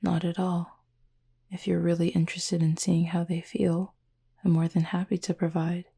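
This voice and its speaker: A woman playing a gentle, composed horned cupid roommate in an intimate roleplay audio, soft-spoken, measured and formally worded, tenderly teasing and reassuring. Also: fairly low pitch, little pitch movement, full character voice. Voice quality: soft, breathy, husky.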